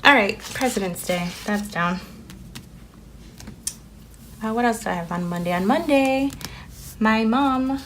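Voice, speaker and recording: A woman's voice: on the high side, unhurried, pauses often. A woman whose first language is Spanish, chatting casually and rambling with many fillers as she decorates a planner. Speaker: planner vlogger. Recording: steady background noise with paper crinkling. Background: sticker and paper crinkling.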